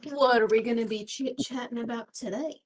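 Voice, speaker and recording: A woman's voice: on the high side, a little fast, no room sound. A young woman co-hosting a podcast, playful and giggly in casual banter. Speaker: podcast co-host.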